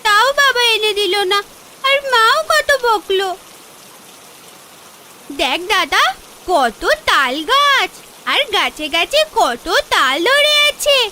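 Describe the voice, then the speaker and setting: Very high-pitched little village girl. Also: full character voice. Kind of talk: Bengali cartoon fairy-tale voice acting. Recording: loud rain noise behind.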